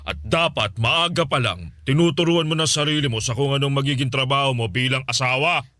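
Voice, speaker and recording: A man playing a scornful, domineering, quick-tempered middle-aged uncle in a Filipino radio drama, scolding and sneering. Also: medium pitch, bright, forceful tone, dry recording, studio quality, natural voice. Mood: condescending.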